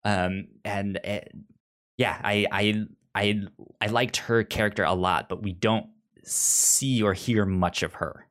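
A man co-hosting a casual review discussion, talking haltingly and often repeating words as he works through his thoughts. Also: lowish voice, steady tone, fairly bright tone. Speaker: podcast co-host.

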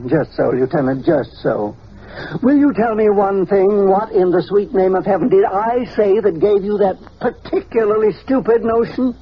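An actor playing an eccentric, irascible older scientist in an old-time radio drama, pompous and pedantic. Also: male voice, high-pitched, moderate pace, natural voice. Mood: scornful. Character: eccentric, irascible older male scientist, self-important inventor. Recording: muffled, noisy vintage radio recording.

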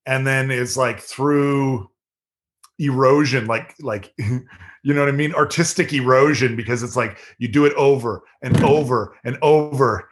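A man talking in a relaxed, rambling way as a podcast guest, with a slightly nasal yet deep voice and many fillers. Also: measured pace. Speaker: podcast guest, improv performer. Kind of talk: casual chat.

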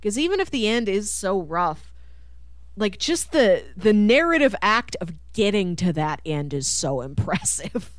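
A woman hosting a casual film discussion podcast, talking in an emphatic, animated manner. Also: medium pitch, a little slow, no room sound, clean studio sound. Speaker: podcast host.

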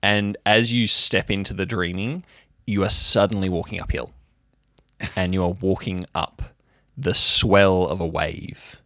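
A man's voice: low-pitched, fairly even intonation, a little slow, bright, forceful tone, no echo, clean studio sound. A man narrating an improvised audio drama in a casual, descriptive way, making it up as he goes. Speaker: game-master style narrator.

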